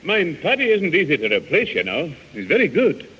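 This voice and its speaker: Very raspy old grandfather. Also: a little high, huge pitch swings, brisk, sharp tone, heavily acted. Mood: amiable, explaining. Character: exasperated but amiable old grandfather. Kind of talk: British radio sitcom acting. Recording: dull old radio recording.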